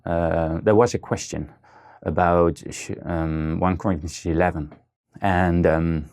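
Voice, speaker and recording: A man with a Dutch accent talks in a very deep, gravelly voice. He pauses often on ums and starts his sentences over as he searches for words. He sounds like a guest in a casual interview. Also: fairly even intonation, darkish tone. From Netherlands. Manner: hesitant, many fillers and restarts.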